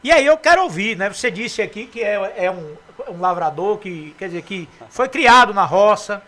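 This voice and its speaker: A man from Brazil hosting a local radio interview, chatty and lively as he asks questions. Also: sits high, moderate pace. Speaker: radio host.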